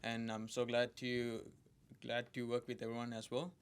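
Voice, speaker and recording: A man's voice: mid-range voice, completely flat delivery, moderate pace, clear, resonant tone. A young man from Sri Lanka answering interview questions hesitantly, with many likes and uhs. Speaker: lead designer, guest.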